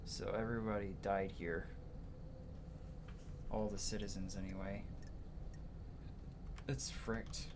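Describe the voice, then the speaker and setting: A man's voice: lowish voice, little pitch movement, slow pace, pauses often, noisy background. A man doing casual let's play commentary, thinking aloud informally and reading on-screen text as he goes. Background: game music.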